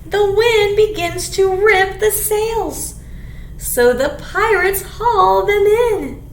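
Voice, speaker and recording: A woman with a very high voice leads a children's storytime at an unhurried pace. She speaks expressively to young listeners, with some creak in her voice. The small room adds echo. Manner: expressive, clearly addressing young children, with simple questions. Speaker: children's librarian leading storytime. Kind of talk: animated children's picture-book read-aloud. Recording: echoey small room, background hiss.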